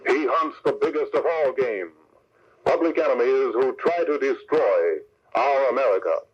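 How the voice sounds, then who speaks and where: A man's voice: mid-range voice, lively intonation, moderate pace, breathy edge. A man declaiming dramatically as announcer of a 1940s-style radio serial. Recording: dull, faintly noisy old radio recording.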